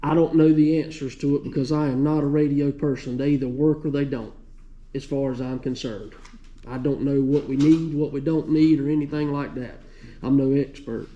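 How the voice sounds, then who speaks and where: Male council member, very mellow dark tone, plain-spoken and halting, muffled lo-fi meeting audio. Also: mid-range voice, fairly even intonation. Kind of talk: informal meeting remarks. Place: indoor committee meeting room. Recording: dull, muffled meeting recording.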